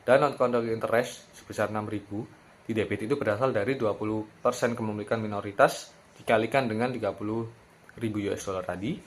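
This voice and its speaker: A young man giving an explanatory class presentation as a university student, in a slightly halting way with self-corrections. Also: on the low side, fairly even intonation. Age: young adult.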